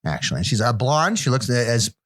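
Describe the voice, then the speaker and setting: A man's voice: mid-range voice, very animated, normal speed, fairly bright tone. A man playing a fussy dating-app phone operator. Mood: deadpan. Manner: hesitant, picky commentary with restarts.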